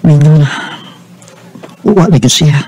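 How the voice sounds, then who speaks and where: Higher-voiced lovesick young Visayan man. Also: warm tone, slight background noise. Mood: forlorn, longing. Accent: Filipino, Visayan. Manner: earnest, halting. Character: lovesick young working man pining for his housemate. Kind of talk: Bisaya radio drama acting.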